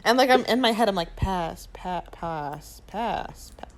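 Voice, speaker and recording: A young woman co-hosting a podcast, telling stories in a casual, animated way and laughing often. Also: mid-range voice, unhurried. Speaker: podcast co-host. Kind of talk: casual chat.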